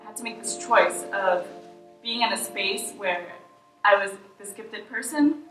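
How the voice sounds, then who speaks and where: A young woman student, reflecting deliberately on the pressure she felt in school. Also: medium pitch, fairly bright tone, soft background music. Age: young adult. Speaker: student interviewee. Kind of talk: reflective interview answers. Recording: roomy, from a distance.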